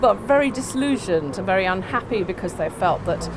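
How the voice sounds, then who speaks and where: A middle-aged woman answering interview questions in a measured, analytical way, in a small campaign office. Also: mid-range voice, lively intonation, crowd noise. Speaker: local interviewee.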